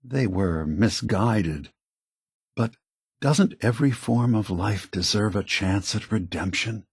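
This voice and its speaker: A man playing a weary, grave synth leader in a video game, speaking deliberately and solemnly with a husky voice. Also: lowish voice, no room sound, studio quality, heavily acted. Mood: solemn reproach. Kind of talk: scripted video game voice acting.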